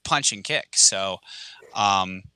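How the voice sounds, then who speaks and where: A man co-hosting a casual podcast, chatting in a relaxed way with lots of fillers. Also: sits low, takes it slowly, clean tone, no room sound, poor audio. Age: adult with kids. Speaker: podcast co-host, martial arts teacher.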